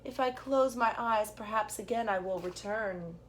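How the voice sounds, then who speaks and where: A woman voicing a drowsy, dreamy old man who grumbles slowly. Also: mid-range voice, a little hiss or hum, natural voice, poor audio.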